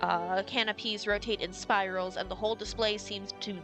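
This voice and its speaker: A woman runs a tabletop fantasy game as dungeon master, calmly describing scenes and asking players for rolls. Her pitch sits in the middle, and background music plays clearly under her voice. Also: normal speed.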